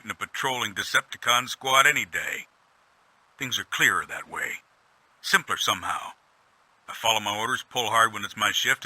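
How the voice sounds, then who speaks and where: An actor playing a world-weary, gruff robot soldier in a video game audio log, a humble, plainspoken veteran with a folksy, stoic manner. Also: male voice, on the low side, sharp tone, no room sound, rough recording. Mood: resigned, dutiful. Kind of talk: scripted in-character audio log monologue.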